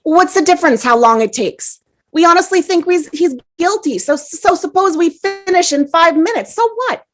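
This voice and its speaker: A woman's voice: extremely high pitch, lively intonation, normal speed. A woman playing a brash, impatient, argumentative juror in a table read of a stage drama. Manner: argumentative, flippant. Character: brash, impatient juror eager to get away.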